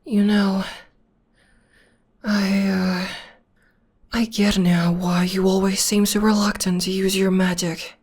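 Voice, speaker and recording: A woman voicing a gentle young witch girlfriend, soothing her upset partner in a soft, often whispered voice close to the microphone. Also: mid-range voice, level tone, slow pace, long pauses, husky. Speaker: voice actress. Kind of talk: ASMR audio roleplay.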